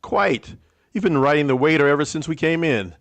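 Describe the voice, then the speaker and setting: A man playing a mild, proper, observant gentleman adventurer in an old-time radio drama, measured and polite. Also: a little high, natural voice. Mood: wry. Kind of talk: vintage radio drama acting.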